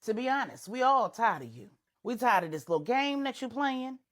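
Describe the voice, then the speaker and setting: A woman's voice: fairly high pitch, very animated, normal speed, pitched above the performer's own voice. A woman voicing a blunt, scolding, motherly older friend with a folksy, plain-spoken manner in a told story. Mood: exasperated, scolding. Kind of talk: audio storytelling dialogue.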